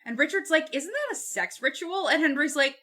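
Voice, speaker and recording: A woman's voice: high-pitched, animated, fairly quick, sharp tone, slight background noise. A woman chatting casually and animatedly about a book, blunt, emphatic and freely swearing. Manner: blunt, profane, emphatic, acts out quotes colloquially. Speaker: podcast co-host.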